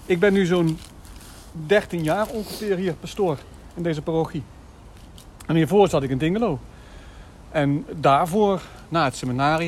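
An actor playing a kindly, evasive, middle-aged village priest in a naturalistic audio drama, musing and gently paternal. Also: male voice, a little high, a little hiss or hum, close to an everyday voice. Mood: friendly.

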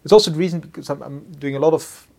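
A middle-aged man, a Dutch speaker with a Flemish accent, talking as a company executive about his leadership, pausing often to search for words and tagging points with "huh?". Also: a little high, gravelly, a little mellow.

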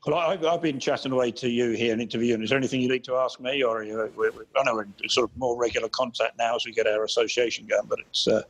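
A man hosts a relaxed interview at a quick clip, his voice a little husky. He rambles, repeats words and pushes his guest along with friendly encouragement. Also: medium pitch, rough recording. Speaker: interview host. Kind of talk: casual interview chat.

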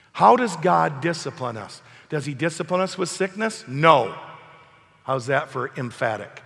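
A man preaching an emphatic sermon while hoarse from a cold, asking his congregation questions. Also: mid-range voice, fairly bright tone, big-room sound. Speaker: pastor. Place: church hall.

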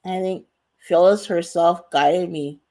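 Gravelly-voiced earnest woman author. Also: on the low side, fairly even intonation, slow pace, darkish tone. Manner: earnest, emphatic, repeats phrases for stress. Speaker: author, guest. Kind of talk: reflective interview answer.

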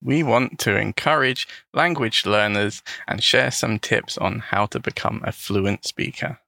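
A man co-hosting a language podcast. His voice is bright and crisp with a gravelly edge, and it swings up and down as he talks. He speaks in a relaxed, thoughtful way, with a few small restarts, and the recording is close and dry. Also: on the low side, measured pace, dry recording. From England. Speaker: podcast co-host, English teacher. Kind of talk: casual podcast chat.

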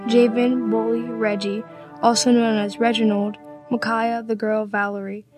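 A woman's voice: a little high, flat delivery, a little slow, music in the background. A young woman reading scripted narration for an amateur radio play.

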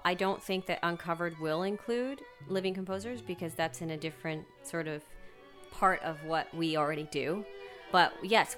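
A woman answers questions in a conversational interview. Her voice is slightly low for a woman, and she sounds earnest and reflective, working out thoughts as she talks. Music is faintly audible behind her. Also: a bit bright. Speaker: violinist, interview guest.

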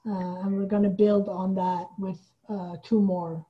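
A woman's voice: mid-range voice, level tone, unhurried, muffled recording. A woman from Iran speaking thoughtfully and hesitantly as a guest in a conversational interview. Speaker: guest, playwright and theater artistic director.

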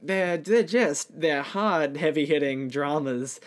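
A man's voice: on the high side, slow pace. A man hosting a podcast interview in casual conversation, with some stumbling and repeated words.

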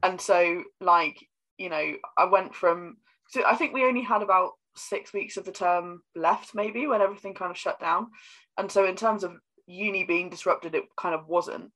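A woman's voice: lowish voice, normal speed, fairly bright tone, low-quality recording. A woman, co-hosting a casual podcast, teasing and rambling with many fillers. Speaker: podcast co-host. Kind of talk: casual chat.

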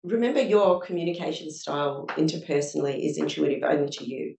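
Low gravelly woman advising a panel audience. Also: lively intonation, fast talker, mellow. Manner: earnest, advisory, speaks in examples. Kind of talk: panel discussion answer. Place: event room with audience. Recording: echoey room from a distance.

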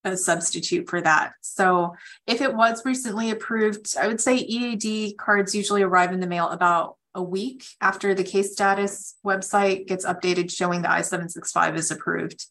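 A woman speaking as an attorney, answering callers' questions in a measured, matter-of-fact, explanatory way. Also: medium pitch, steady tone, a bit bright, a little hiss or hum. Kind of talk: advisory question-and-answer on a conference call.

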